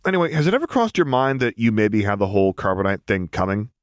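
A man's voice: fairly low pitch, big pitch swings, fast, no room sound, studio quality. A man voicing a mischievous Jedi master with a breezy, teasing, mock-innocent farm-boy manner in an audiobook story.

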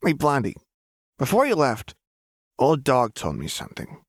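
A man's voice: mid-range voice, huge pitch swings, normal speed, dry recording, clean studio sound, voice pushed up. A man voicing a brash, flippant young woman with a casual, slangy manner and a tender streak, in an audiobook. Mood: tender, teasing.

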